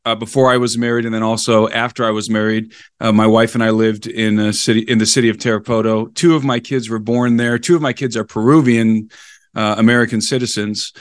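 A man's voice: mid-range voice, smooth, dry recording, studio quality. A man speaking as a podcast guest, telling history conversationally in an enthusiastic, explanatory and digressive manner. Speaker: podcast guest, explorer and researcher.

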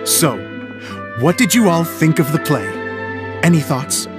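A man playing an earnest, genial theatre director in a video game, with an expressive, theatrical delivery. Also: a little high, measured pace, over music. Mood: concerned.

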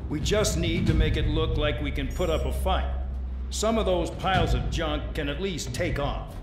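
Scornful male penal commander actor, higher-pitched, cynical game briefing, echoing room, loud score. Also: moderate pace, lightly acted. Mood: brisk, unbothered. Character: contemptuous male commander of a military penal unit. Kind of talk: scripted military briefing in a video game. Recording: roomy echo, loud dramatic music.

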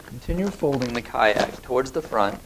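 Gravelly man giving calm instructions. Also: medium pitch, normal speed, noisy background. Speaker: product demonstrator. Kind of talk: scripted instructional voice-over.

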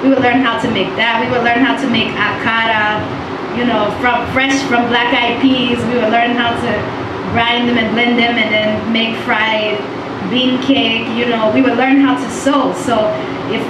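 Middle-aged woman guest, soft, warm storytelling, recorded far off in a reverberant space. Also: on the high side. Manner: soft-spoken, storytelling, laughs easily. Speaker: singer, interview guest. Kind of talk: relaxed interview chat. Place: indoor studio with mural backdrop. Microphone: handheld mic, far camera. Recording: echoey room, distant mic, noise.

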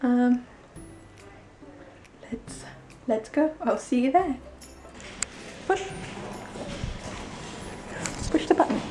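A woman's voice: high voice, animated, drawn-out words, long pauses, dark tone, soft background music, poor audio. A woman in her twenties with an English accent, chatting casually in a vlog on a handheld camera. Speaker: vlogger and dog handler. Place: kitchen, train and station platform. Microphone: handheld camera at arm's length.

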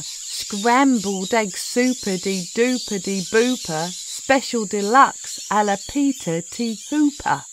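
A woman reads a rhyming storybook aloud at an unhurried pace, with a lively, swooping pitch. Her delivery is playful and expressive, aimed at children. Clear noise and small clicks sit behind her voice. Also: rough recording. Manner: animated, deliberate, playful storytelling. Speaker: storybook narrator.

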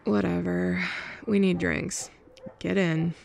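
A sarcastic, tough-talking young woman who used to be the bully, voiced by a woman in an audio roleplay. Her voice sits low and gravelly, and she talks slowly and bluntly with heavy sighs. A faint hiss sits under the recording. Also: fairly even intonation, darkish tone. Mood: scornful. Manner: sarcastic, blunt, sighing and scoffing. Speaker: ASMR roleplay performer. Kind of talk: F4M ASMR roleplay.

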